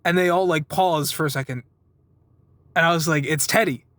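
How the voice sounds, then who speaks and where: A man in his twenties with a noticeably high voice talks casually to his viewers. The sound is dry, with little room in it. He rambles through personal stories in an informal way and acts out bits of conversation as he goes. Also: moderate pace, fairly bright tone, dry recording. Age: 20s. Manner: acts out quoted exchanges. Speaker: vlogger giving a weekly update.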